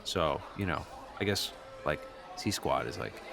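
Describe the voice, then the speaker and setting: A man playing an earnest teenage new student in an improvised radio play, talking haltingly in casual teen slang. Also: very deep voice, animated, vocal fry, over music, exaggerated voice. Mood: earnest, self-doubting.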